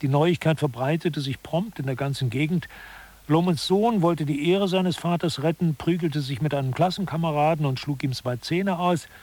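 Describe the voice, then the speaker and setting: A man's voice: fairly high pitch, moderate pace, a little mellow, lightly acted. A man narrating a satirical radio lecture in an articulate, matter-of-fact way. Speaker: second narrator.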